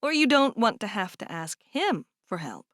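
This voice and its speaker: A woman reading aloud and voicing a kind, calm older sister who speaks gently and reassuringly. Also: medium pitch, moderate pace, no echo, studio quality. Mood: soothing.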